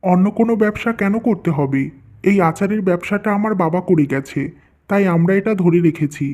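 A man's voice: sits high, normal speed, darkish tone, lightly acted. A man voicing a mild-mannered young husband in a cartoon story. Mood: puzzled. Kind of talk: Bengali cartoon story dubbing.

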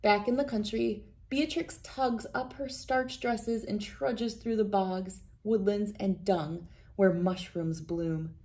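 A woman in her thirties reading a children's picture book aloud in a deliberate, expressive storytelling manner. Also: medium pitch. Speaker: read-aloud host. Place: indoors, wood-panelled room with large windows.

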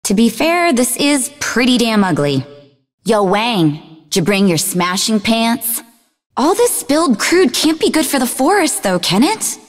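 A sarcastic young woman psychic from a video game. Her pitch swings in a lively way as she teases and needles with a sharp wit. The voice sits in a long reverb. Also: measured pace, faint music behind. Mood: mocking. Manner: sarcastic, teasing.

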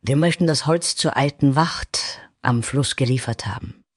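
A man voicing a direct, practical female soldier in a fantasy audio story, with a husky voice. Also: mid-range voice, a little slow, no echo, studio quality, voice pushed up, natural voice. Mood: curious, courteous. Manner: direct, matter-of-fact.

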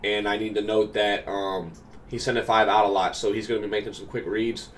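A man's voice: on the low side, flat delivery, a little fast, fairly bright tone, a little hiss or hum. Nasal young man breaking down his game. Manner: upbeat, explanatory, many fillers. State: slightly nasal from a cold. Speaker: gaming coach and YouTuber. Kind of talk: casual gameplay commentary. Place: small room at home.

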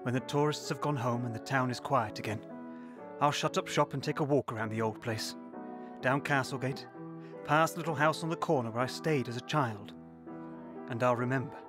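A man's voice: mid-range voice, moderate pace, natural voice. An actor in his sixties narrating as an older man recalling his wartime boyhood, reflective and wistful. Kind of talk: scripted narration. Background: music.

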